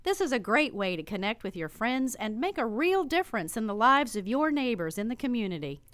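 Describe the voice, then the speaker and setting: Lively, higher-voiced woman reading a promo. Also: a little fast, clear, resonant tone, dry recording. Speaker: promo announcer. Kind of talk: scripted charity radio announcement.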